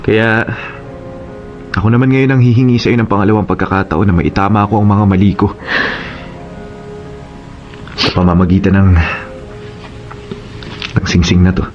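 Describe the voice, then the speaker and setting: A fairly low voice of a devoted, remorseful family man played by an actor in a radio drama. He speaks earnestly and tenderly. The audio is slightly muffled over faint music. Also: fairly even intonation, normal speed, pauses often, close to an everyday voice. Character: devoted, remorseful father and suitor. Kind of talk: Tagalog radio drama acting.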